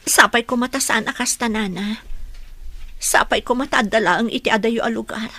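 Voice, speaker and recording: A woman's voice: on the high side, moderate pace, sharp tone, dry recording. A woman acting in a radio drama as an anxious, compassionate young village woman, with an earnest, sorrowful, pleading delivery. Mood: grieving. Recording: faint music behind the voice.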